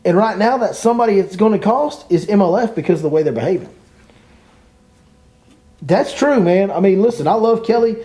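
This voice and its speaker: Man, podcast host, mellow voice, fairly high pitch, emphatic, repetitive. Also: animated, fairly quick, pauses often. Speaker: podcast host and fishing guide. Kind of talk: opinionated podcast chat.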